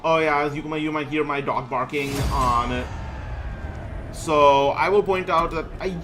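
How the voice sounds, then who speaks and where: A man's voice: fairly high pitch, a little slow, fairly bright tone, faint music behind. A man reading aloud and commenting on gameplay casually, often stumbling over words and names and correcting himself. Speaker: gaming streamer reading a myth aloud.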